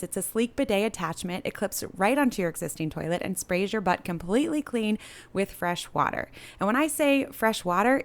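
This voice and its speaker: Female podcast host, casual and conversational, cheeky and crude in tone. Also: medium pitch. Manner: informal, playfully crude. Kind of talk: casual, chatty podcast hosting.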